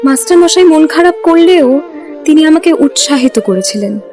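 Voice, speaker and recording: A woman plays a determined young woman telling her own story. Her voice is high and heartfelt, in dramatic scripted narration. Music plays clearly behind her. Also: animated, normal speed. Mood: reflective.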